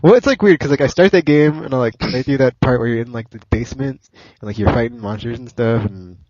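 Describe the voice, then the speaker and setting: A man's voice: mid-range voice, quick pace, a little mellow, no room sound. A young man hosting a casual gaming podcast, rambling with lots of fillers in a lo-fi recording. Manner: rambling, heavy use of "like" and fillers. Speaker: podcast host. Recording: dull lo-fi podcast audio.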